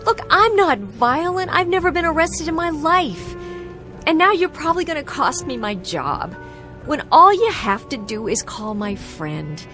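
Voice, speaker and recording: A woman's voice: high-pitched, very animated, unhurried, pushed and bright, faint music behind, exaggerated voice. An actress in a radio drama playing a distraught young woman who pleads, protests and insists with agitation. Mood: indignant.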